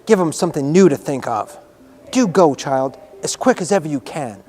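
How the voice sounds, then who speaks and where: A man's voice: on the high side, lively intonation, a little mellow, soft background music, lightly acted. A man voicing a flustered, frightened female nurse in a storybook, with an anxious manner. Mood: urging. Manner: hurried, anxious.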